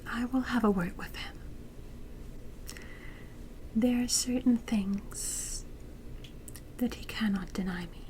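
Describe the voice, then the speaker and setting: A woman playing a gentle queen mother in a medieval ASMR roleplay, comforting her young son in a soft, breathy, reassuring whisper. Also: a little high, slight background noise. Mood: tender, soothing. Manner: slow, tender, reassuring.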